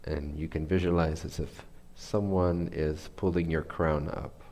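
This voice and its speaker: Deep voiced guy, teaching a guided meditation, takes his time, pauses to find words. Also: steady tone, darkish tone. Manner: unhurried, searching for words.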